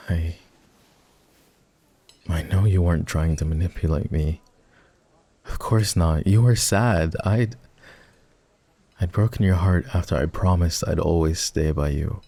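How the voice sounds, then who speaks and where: Male actor for remorseful ex-boyfriend boss in ASMR romance audio: mellow, husky man's voice, tender, halting and confessional. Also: full character voice. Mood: pained, earnest. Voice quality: husky, gravelly low voice. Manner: soft, hesitant, confessional. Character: regretful, tender ex-boyfriend turned office boss. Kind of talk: ASMR boyfriend roleplay, intimate scripted monologue.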